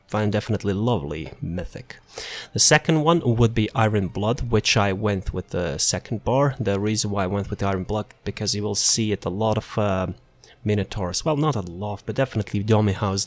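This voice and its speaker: Casual low-voiced man explaining a game build. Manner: rambling, offhand, self-deprecating. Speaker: game build guide creator. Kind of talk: casual game guide walkthrough.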